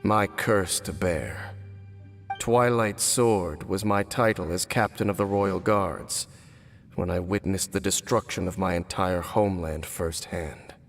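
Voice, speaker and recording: Game role: grave, guarded former royal captain, deepish, measured delivery, long reverb. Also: male voice. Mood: bitter, grave. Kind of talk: dramatic video game voice acting. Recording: long reverb, music behind.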